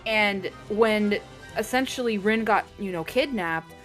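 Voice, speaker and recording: Young female co-host: mid-pitched, chatty, hesitant, filler-heavy. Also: measured pace, soft background music, rough recording. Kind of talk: casual chat ranking anime couples.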